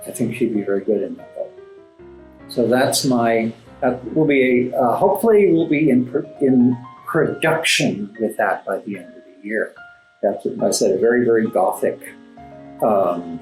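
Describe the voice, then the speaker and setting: A man giving conversational interview answers as a guest playwright, articulate and formally worded, often halting and repeating words for emphasis. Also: lowish voice, darkish tone. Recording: roomy echo, music underneath. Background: classical background music.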